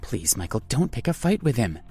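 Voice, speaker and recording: A man's voice: medium pitch, sing-song, brisk, dark tone, breathy edge, faint music behind, voice pushed up. A man voicing a worried young woman who pleads anxiously with her brother. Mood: worried, pleading. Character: worried protective young woman, his sister.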